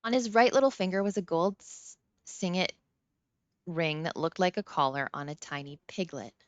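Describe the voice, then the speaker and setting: Low-pitched woman narrating a novel. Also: normal speed, no echo. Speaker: audiobook narrator. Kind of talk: scripted first-person novel narration. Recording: slightly dull, dry close recording.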